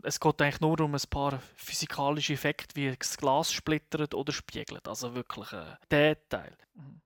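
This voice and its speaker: A man talks games in a relaxed, enthusiastic review chat, his phrasing loose and rambling. His voice sits on the higher side for a man, and the recording is dry and close. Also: no room sound. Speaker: video game reviewer, co-host. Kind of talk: casual game review chat.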